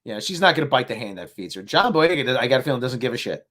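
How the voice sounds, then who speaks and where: A man's voice: medium pitch, sing-song, fast talker, sharp tone. A man speaking as a panelist in a casual chat, sardonic and blunt, with some swearing.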